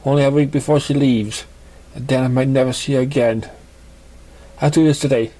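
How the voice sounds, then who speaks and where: A man's voice: medium pitch, fairly even intonation, a little fast, mellow, pitched above the performer's own voice, natural voice. A man voicing a lovesick, anxious, self-doubting male pony in a storybook reading. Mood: anxious resolve.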